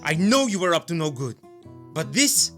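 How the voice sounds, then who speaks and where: A man acts out an overwrought soap opera leading man. His delivery swings widely in pitch and is full of earnest drama, with plucked guitar music playing clearly behind him. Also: sing-song, normal speed. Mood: accusing, indignant. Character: melodramatic lovesick man and his suspicious clone original. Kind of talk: soap opera parody sketch.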